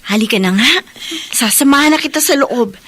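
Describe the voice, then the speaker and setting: Earnest, high-voiced younger sister. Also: female voice, animated, a little fast, fairly bright tone, dry recording. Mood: hushed, concerned. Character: caring, persistent younger sister, earnest and gentle. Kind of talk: radio drama acting.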